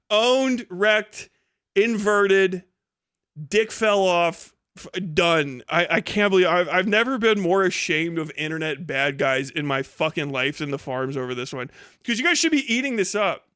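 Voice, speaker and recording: A man with a fairly high voice rants off the cuff to his livestream chat. He swears constantly and sounds mocking and animated. The sound is dry and close, as on a streaming mic. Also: clear, resonant tone, no room sound. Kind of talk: casual livestream rant to chat.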